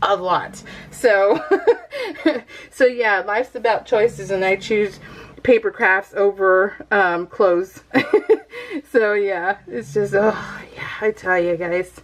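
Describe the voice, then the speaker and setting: A middle-aged woman with an American accent chats casually to her viewers. Her voice sits at an ordinary pitch for a woman. She rambles in a giggly, self-deprecating way, like someone hosting a craft vlog from home. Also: takes it slowly, low-quality recording. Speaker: craft video host.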